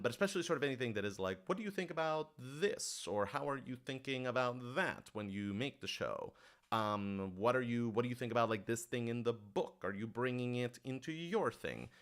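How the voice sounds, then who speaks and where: Clear-voiced man in casual livestream chat. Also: lowish voice, fairly bright tone. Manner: informal, thinking aloud with self-corrections. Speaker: podcast writer-director hosting a livestream Q&A.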